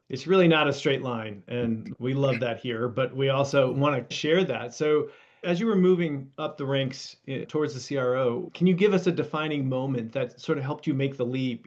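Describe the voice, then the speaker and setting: A man interviews a guest as a podcast co-host, in a conversational style. His voice is mid-pitched, and the sound is a little dull and lo-fi. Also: darkish tone.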